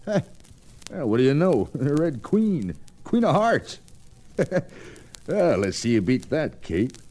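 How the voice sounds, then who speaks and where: An edgy cowboy gambler from a vintage radio western. His medium-pitched voice rises and falls sharply, folksy, suspicious and quick to temper. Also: big pitch swings, mellow, a little hiss or hum. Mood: gleeful. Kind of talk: old-time radio western acting.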